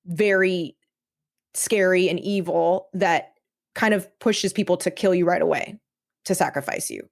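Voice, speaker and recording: A woman guest on a podcast explaining her own audio drama in casual chat, thinking aloud with many "like"s. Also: on the low side.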